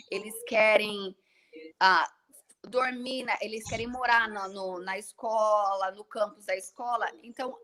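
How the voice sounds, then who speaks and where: Bright-voiced Brazilian woman guest. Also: fairly high pitch. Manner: hesitant, many restarts while explaining. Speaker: interview guest, nurse. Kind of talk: casual live-stream chat.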